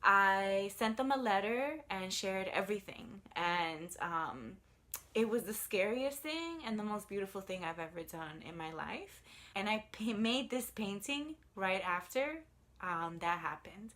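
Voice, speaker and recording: A woman's voice: medium pitch, expressive, a little slow, a bit bright. A woman in her thirties from New York City, speaking in an earnest, reflective way as she tells her personal story for a video application. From New York City, United States. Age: 30s. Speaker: artist, social worker and fellowship applicant.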